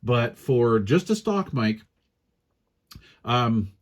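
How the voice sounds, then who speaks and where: Casual man demonstrating gear, halting and informal. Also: medium pitch, very animated. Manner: informal, hesitant step-by-step explaining. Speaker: radio gear reviewer. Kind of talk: casual hands-on product review.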